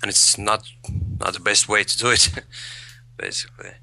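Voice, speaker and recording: A man's voice: lowish voice, sing-song, moderate pace, gravelly, sharp tone. A man, a guest in an interview, speaking thoughtfully and repeating words as he searches for phrasing. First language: Latvian. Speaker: guest, English learner. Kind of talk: conversational interview.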